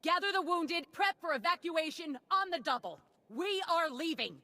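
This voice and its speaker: An actress playing a frazzled, sarcastic town mayor in a video game, with a blustering, folksy, exclaiming delivery. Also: female voice, extremely high pitch, expressive, moderate pace, bright, forceful tone, exaggerated voice. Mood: commanding. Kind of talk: video game character acting.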